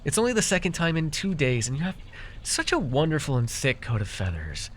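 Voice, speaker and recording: Animated fae potion brewer, played by a man. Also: on the high side, fairly quick, a little hiss or hum. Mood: haggling, playful. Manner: hesitant, chatty, muttering asides to listener. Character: chatty male fae potion brewer, wry and friendly. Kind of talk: fantasy ASMR roleplay audio drama.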